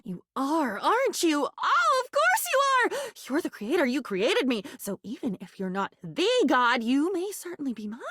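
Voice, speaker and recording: A woman playing a living diary in a video game, a curious, quirky and excitable character who muses aloud in a chatty, theatrical, whimsical way. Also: medium pitch, dry recording, studio quality. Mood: curious, delighted.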